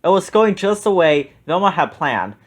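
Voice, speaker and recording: A man narrating a children's storybook aloud with animated, expressive storytelling. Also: high voice, normal speed. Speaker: children's book reader.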